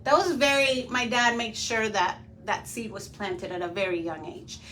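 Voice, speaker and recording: Bright-voiced woman telling her story. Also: on the high side, steady tone, moderate pace, a little hiss or hum. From Venezuela. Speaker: podcast guest. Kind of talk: casual interview storytelling.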